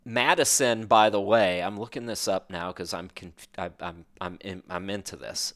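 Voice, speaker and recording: A man's voice: low voice, fairly even intonation, moderate pace, fairly bright tone. A man hosting a podcast, chatting casually and wandering from one thought to the next. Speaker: podcast host.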